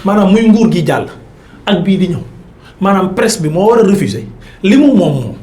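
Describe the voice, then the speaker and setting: A man with a Senegalese accent and a notably high voice argues like a seasoned political commentator. He is emphatic and insistent. The recording has a clear roomy echo around him. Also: lively intonation, moderate pace, a little mellow. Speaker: political commentator on a talk show. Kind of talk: argumentative political debate, mixing Wolof and French.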